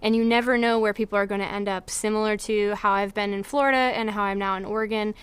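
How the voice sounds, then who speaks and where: A woman's voice: mid-range voice, fairly even intonation, fast talker, smooth. A young woman advising students on study abroad, speaking earnestly with frequent filler words. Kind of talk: informational presentation.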